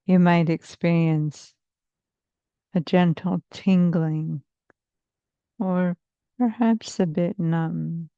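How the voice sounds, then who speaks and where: Slow, low-voiced woman guiding a meditation. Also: steady tone, creaky, no echo, muffled recording. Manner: soothing, measured, hypnotic phrasing with long pauses. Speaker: therapist leading a guided meditation. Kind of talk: slow guided meditation narration.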